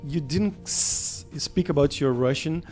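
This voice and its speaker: A man's voice: fairly high pitch, unhurried, a little mellow, soft background music. A man hosting a casual interview over a video call. He talks with enthusiasm and often fills his speech with "uh" and "like". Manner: enthusiastic, many fillers like "uh" and "like". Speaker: interview host.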